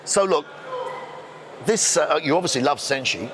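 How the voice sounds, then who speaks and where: A man's voice: on the high side, animated, moderate pace, a crowd in the background. A man hosting a fight interview show, jokey and chatty as he asks questions. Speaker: interviewer host. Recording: noisy background.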